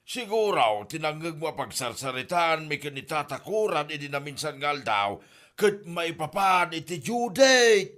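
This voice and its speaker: Man acting higher-voiced exasperated Ilocano husband. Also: animated, normal speed, fairly bright tone. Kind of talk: comedy drama acting.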